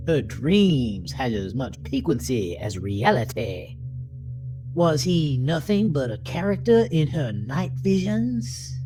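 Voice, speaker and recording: A man narrating a horror story in a theatrical, deliberate, brooding way, as scripted audio drama. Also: mid-range voice, low-quality recording.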